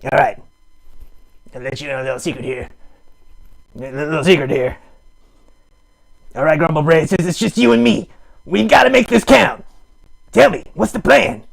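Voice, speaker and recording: A man voicing a needy, melodramatic puppet streamer, theatrical, sarcastic and mock-grand, with a gravelly, husky voice, improvising a comic livestream. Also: fairly high pitch, normal speed, pauses often. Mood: conspiratorial, playful. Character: melodramatic, needy puppet live streamer with big wry bravado. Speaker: host of a live puppet show stream.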